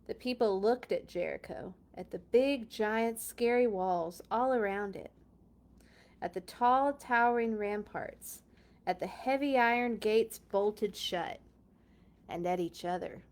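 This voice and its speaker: A woman's voice: mid-range voice, takes it slowly. A woman hosting a children's read-along, reading a storybook expressively with chatty, playful asides and dramatic emphasis.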